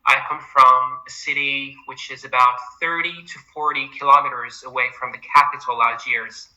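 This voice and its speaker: A young Algerian man in his twenties answers interview questions with polite eagerness. His voice has a very bright, forward tone. He speaks as a PhD student, adding a frequent "absolutely" and a steady, friendly pace. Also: medium pitch, moderate pace, dull audio. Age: 20s.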